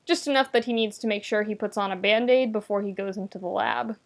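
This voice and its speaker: A woman's voice: medium pitch, fast talker, gravelly. A woman reading fiction aloud as a narrator, with a wry, conversational and emphatic delivery. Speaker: podfic reader, narrator. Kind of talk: scripted narration of fan fiction, expressive audiobook reading.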